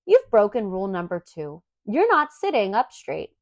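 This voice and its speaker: A woman's voice: mid-range voice, wildly swooping pitch, moderate pace, no echo, clean studio sound. A woman voicing an earnest, bossy little girl in a storybook, prim, polite and officious. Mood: scolding.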